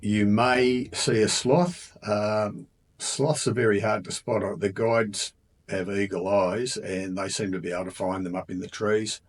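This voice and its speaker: An Australian man with a slightly low, husky voice talks through his travels in an easygoing, chatty way. He fills pauses with ums and uhs and pokes fun at himself. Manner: relaxed, chatty, many ums and uhs, self-mocking. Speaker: travel vlogger. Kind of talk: casual travel narration.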